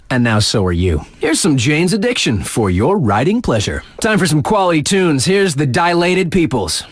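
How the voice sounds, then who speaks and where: A man's voice: fairly high pitch, no echo. A man playing a hip, laid-back radio DJ on a snowboard mountain in a video game, introducing tracks with slangy, cocky, playful patter.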